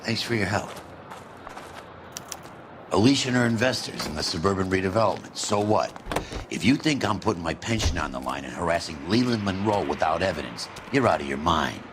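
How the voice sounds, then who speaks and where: Low-voiced, jaded veteran detective. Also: male voice, lively intonation, a little fast, background noise. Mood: grudging, gruff. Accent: American. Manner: sardonic, world-weary, blunt. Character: jaded, grumpy veteran 1940s arson detective, male. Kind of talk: cinematic video game acting. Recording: music behind the voice.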